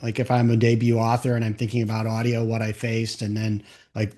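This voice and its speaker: A man's voice: fairly low pitch, monotone, brisk, dark tone. A man hosting a casual interview show, talking informally and rephrasing his questions as he goes.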